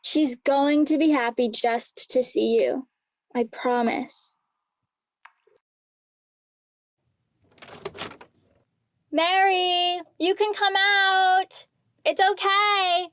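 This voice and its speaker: A woman playing a calm, wry, seasoned mentor, assured and blunt, in a dramatic staged reading. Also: very high voice, slow, heavily acted. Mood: reassuring.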